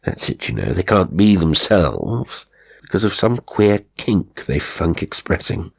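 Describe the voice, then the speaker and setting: Man playing puzzled, halting British gentleman. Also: low-pitched, big pitch swings, creaky, husky, heavily acted. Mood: puzzled, indignant. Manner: slow, halting, emphatic old-fashioned speech. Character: earnest, puzzled British gentleman writer, slow-spoken.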